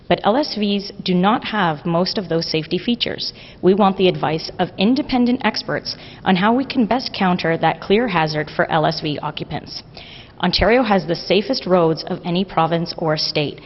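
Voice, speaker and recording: A woman, a press secretary reading a prepared statement in a formal, measured way in an office hallway. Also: lowish voice. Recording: lo-fi with long reverb and faint hiss.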